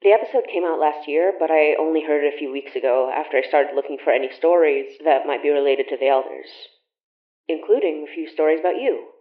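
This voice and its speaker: An actress playing a friendly young woman in an audio drama, talking in a casual, halting, conversational way. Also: sits low, close to an everyday voice. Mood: reassuring, chatty. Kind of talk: naturalistic audio drama acting. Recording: roomy echo, dull lo-fi sound.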